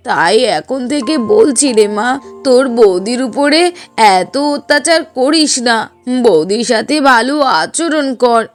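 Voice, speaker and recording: A woman's voice: fairly high pitch, expressive, a little slow, faint music behind. A woman voicing a fair-minded, fretful middle-aged mother-in-law in a cartoon, earnest and admonishing. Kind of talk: Bengali cartoon voice acting.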